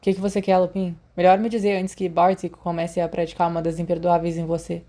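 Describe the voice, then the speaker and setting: A woman's voice: on the low side, completely flat delivery, fairly quick, mellow, lightly acted. A woman voicing a bored, brusque aristocratic teenage wizard giving orders, in a Brazilian audiobook. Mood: bored authority.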